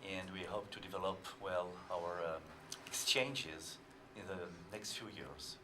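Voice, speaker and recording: A French teacher in his fifties speaking halting English with a French accent, searching for words, in a small TV studio. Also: deep voice, distant mic, noisy background. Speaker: teacher leading an exchange trip. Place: small TV studio with a seated group.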